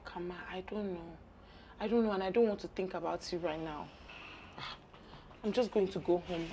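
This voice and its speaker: An actress playing a meek, distressed waitress with a Nigerian accent, speaking apologetically in a screen drama. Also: female voice, high voice, expressive, moderate pace, a little hiss or hum. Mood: subdued. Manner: pleading, apologetic. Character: meek, distraught young waitress, pleading with her superiors. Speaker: restaurant employee.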